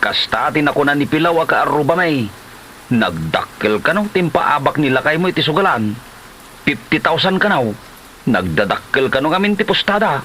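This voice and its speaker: Man acting a bright-voiced gossipy neighbour. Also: on the high side, sing-song, moderate pace, a little hiss or hum, poor audio. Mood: gossiping. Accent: Ilocano Filipino. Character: gossipy, meddling male neighbour and friend. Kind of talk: Ilocano comedy radio-style drama.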